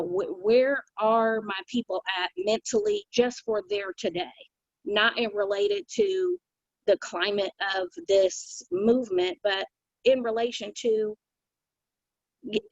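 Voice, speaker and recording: A woman's voice: a little high, vocal fry, no room sound. A woman speaking earnestly on a video call, thinking aloud with frequent restarts and "you know.". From United States. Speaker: community call participant. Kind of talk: casual reflective talk on a video call. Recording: dry, dull video call audio.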